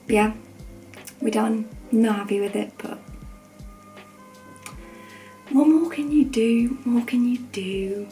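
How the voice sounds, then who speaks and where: A young woman with a croaky voice, chatting informally and rambling in a self-deprecating way as a student vlogger. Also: on the high side, a little slow, mellow, faint music behind, rough recording. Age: young adult. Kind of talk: casual chat to camera.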